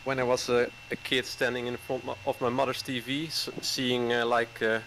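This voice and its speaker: A man around forty, a caller chatting hesitantly with many fillers and soft chuckles. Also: mid-range voice, level tone, moderate pace, slight background noise, poor audio. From Netherlands. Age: 40s. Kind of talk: casual chat.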